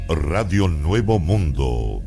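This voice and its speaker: A male radio announcer delivers scripted lines solemnly in a gravelly voice. His intonation swings widely for drama. Loud music plays behind him. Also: very deep voice, sing-song, slow pace. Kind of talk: scripted show sign-off announcement. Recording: loud music bed with ringing tones.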